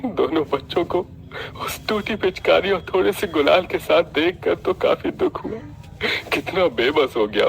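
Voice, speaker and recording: A man's voice: a little high, animated, a little slow, gravelly. A man playing a poor, helpless, guilt-ridden father in a Hindi cartoon story. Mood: sad, remorseful.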